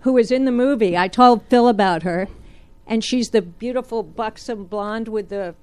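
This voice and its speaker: A woman on a panel recounting how she prepared for a film role, brisk and amused. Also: a little high, darkish tone, smooth, no echo. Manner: brisk storyteller, laughs lightly. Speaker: actress on a panel.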